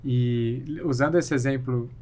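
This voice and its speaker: A man's voice: medium pitch, steady tone, unhurried, darkish tone. A Brazilian man, a surgeon guest on a podcast, answering thoughtfully with frequent restarts. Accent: Brazilian Portuguese. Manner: thoughtful, hesitant, many restarts and fillers. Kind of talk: interview answers.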